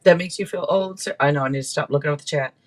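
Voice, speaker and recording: A man's voice: a little high, fast. A man hosting a casual live tarot reading, chatting to viewers in a rambling, playful way with frequent asides. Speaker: tarot reader and livestream host.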